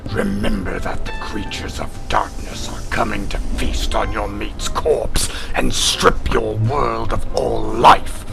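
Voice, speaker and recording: Gravelly, fierce alien cat warrior. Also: male voice, lowish voice, exaggerated voice. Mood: cold contempt. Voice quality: gravelly, growling. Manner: haughty, declamatory, taunting. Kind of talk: sci-fi audio drama acting.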